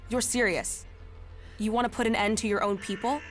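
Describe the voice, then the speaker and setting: An actress playing a brash, foul-mouthed, bloodthirsty outlaw warrior in a video game, sneering and cocky. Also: female voice, medium pitch, fairly even intonation, moderate pace, soft background music, lightly acted. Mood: angry, incredulous. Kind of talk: dramatic game dialogue.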